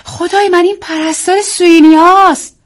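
An excitable young housewife, as a woman reading a story puts her on speaks in high, urgent bursts. The voice jumps up and stays loud and lively, with a slightly husky grain. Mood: alarmed.

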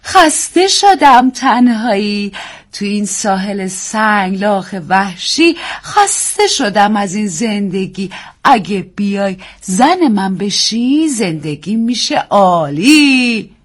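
A woman voicing a prim nanny who slowly recites a nursery rhyme in a sing-song way, as part of a story reading. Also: medium pitch, lively intonation, pitched above the performer's own voice, natural voice. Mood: reciting.

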